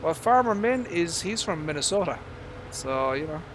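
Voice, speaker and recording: A man with a mild Irish accent giving casual live gaming commentary in a halting, rambling, casually sweary way. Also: a little high, animated, fairly bright tone, a little hiss or hum. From Ireland. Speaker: game streamer.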